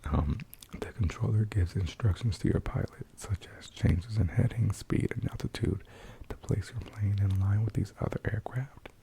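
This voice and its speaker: A man whispering and speaking softly as he reads aloud for ASMR, hushed and gentle, with a breathy sound. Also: on the low side, normal speed, a little hiss or hum. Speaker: ASMR host. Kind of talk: ASMR, whispered and soft-spoken article reading.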